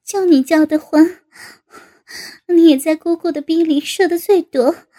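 A woman voicing a shy, flustered middle-aged woman, breathless and whimpering, in a very high, soft voice. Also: fairly even intonation, measured pace. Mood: bashful.

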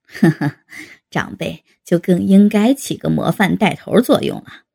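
A woman voicing a jovial, coaxing man in his fifties, an eldest brother and father, in a deep, husky, gravelly voice. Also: measured pace, darkish tone, full character voice. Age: 50s. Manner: jovial, urging, chuckling.

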